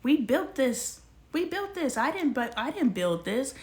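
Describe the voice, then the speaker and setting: A woman in her forties hosting a casual livestream, talking straight to her viewers in an animated, slangy way. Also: sits high, unhurried. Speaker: livestream host.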